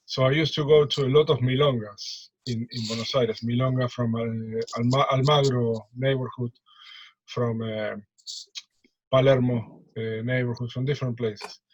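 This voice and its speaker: Husky Argentine man with muffled sound. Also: mid-range voice, fairly even intonation. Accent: Argentine Spanish. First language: Spanish. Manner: halting, repeats words, non-native English phrasing. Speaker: musician, percussionist and drummer interviewed. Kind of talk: casual conversational interview.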